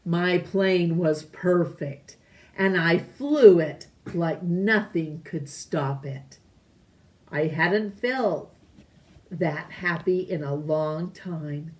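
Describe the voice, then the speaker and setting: A woman reading a children's picture book aloud, deliberately, with pauses between phrases. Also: lowish voice. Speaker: storytime reader.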